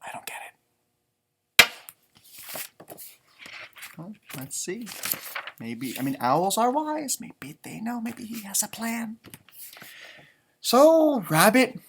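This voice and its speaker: High-voiced, very animated man reading to children. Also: slow, vocal fry, long pauses, noisy background. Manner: expressive, exaggerated, chatty asides. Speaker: elementary music teacher reading aloud. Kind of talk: animated children's storybook read-aloud with commentary.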